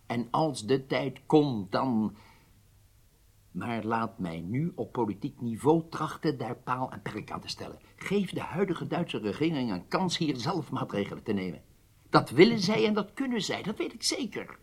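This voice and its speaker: Gravelly, authoritative statesman. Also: mid-range voice, big pitch swings, moderate pace. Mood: persuasive. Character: weighty senior Israeli statesman, conciliatory but firm. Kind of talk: Dutch radio drama acting.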